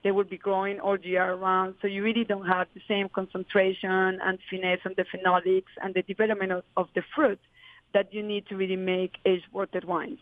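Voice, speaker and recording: A woman's voice: mid-range voice, level tone, brisk, no room sound. Woman winemaker, earnest guest, dry thin phone-like audio. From Colombia. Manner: earnest, flowing explanations with many fillers. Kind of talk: interview answers.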